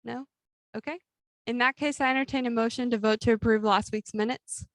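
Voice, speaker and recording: A young woman chairing a student government meeting, running through the agenda in a brisk, lightly amused way. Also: a little high, steady tone, no echo. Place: meeting with a Zoom link.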